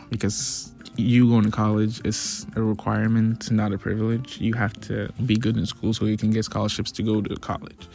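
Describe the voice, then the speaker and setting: A young man from Ghana talking casually to his viewers in a relaxed, chatty way. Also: lowish voice, creaky. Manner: relaxed, chatty, with many restarts and fillers. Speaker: vlogger. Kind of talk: casual talk to camera.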